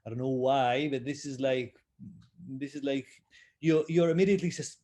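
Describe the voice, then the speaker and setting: A man's voice: medium pitch, moderate pace, dark tone. A man from Israel, speaking as a panelist in a roundtable discussion over a video call, hesitant with many fillers. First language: Hebrew. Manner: hesitant, many fillers, self-deprecating laughs.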